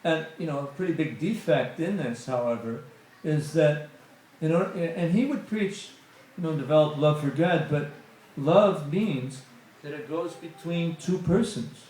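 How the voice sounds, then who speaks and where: A middle-aged man speaks to a temple congregation in a loose, conversational way, dropping frequent "you know" fillers. His voice sits a little high for a man. It rings around a very echoey hall. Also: measured pace, hardly any pauses, a little mellow. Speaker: guest speaker at a temple satsang. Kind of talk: spiritual talk to a congregation.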